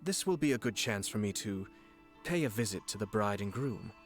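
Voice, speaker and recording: An actor playing a courtly, shrewd young clan lord in a video game, speaking in a formal, measured and polished way. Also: male voice, on the low side, lively intonation, soft background music. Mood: composed, dignified.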